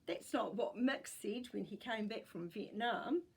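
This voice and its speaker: A woman's voice: medium pitch, a little slow, gravelly, breathy edge, heavily acted. A woman voicing a kindly grandmother who remembers her childhood with tender sadness in a storybook. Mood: sad, reflective. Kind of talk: storybook character voice.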